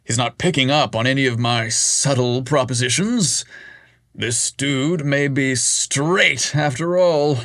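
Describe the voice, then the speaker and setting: A man voicing Chad, an eager, flirty lawyer boss who talks in a quick, breezy way. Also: mid-range voice, fairly bright tone, no echo, clean studio sound. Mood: disappointed.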